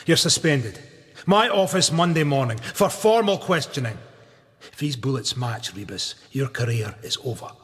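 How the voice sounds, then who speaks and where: An actor playing a stern, exasperated senior police boss with a Scottish accent, scolding and commanding in a radio drama. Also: male voice, mid-range voice, lively intonation, moderate pace, a bit bright, lightly acted. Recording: long echoing reverb.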